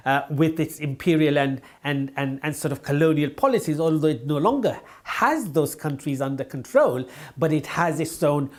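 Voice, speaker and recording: A man from Britain gives political commentary. He talks in a deliberate, lecturing way and hesitates here and there. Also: on the high side.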